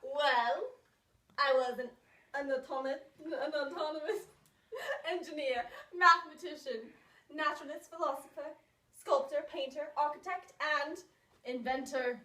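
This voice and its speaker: A woman's voice: high-pitched, sharp tone, roomy sound, recorded from a distance. A woman playing a bragging inventor. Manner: bragging, halting. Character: boastful male Renaissance inventor.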